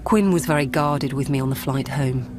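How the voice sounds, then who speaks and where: An actress playing a calm, ruthless politician who narrates a radio drama in a measured, controlled and quietly menacing way. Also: female voice, rumbling low, noisy background. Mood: cool, controlled. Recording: music behind the voice.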